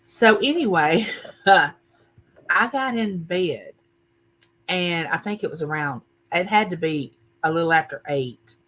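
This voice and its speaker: Loud, bright-voiced older woman. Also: lowish voice, expressive, unhurried, a little hiss or hum, rough recording. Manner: loud, chatty, greets viewers by name. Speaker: livestream host. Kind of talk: casual live chat with viewers. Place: home room used for filming.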